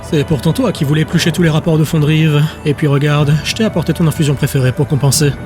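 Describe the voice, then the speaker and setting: A man's voice: on the high side, steady tone, fast talker, music in the background, natural voice. A man voicing a teasing, easygoing, talkative colleague in an audio drama.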